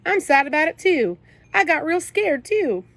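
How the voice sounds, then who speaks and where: Gruff streetwise teenage brother, blunt slang, scolding but protective, voiced by a woman; storybook audiobook. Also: high-pitched, very animated, moderate pace, fairly bright tone, no room sound, voice pushed up, heavily acted. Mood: gruff comforting.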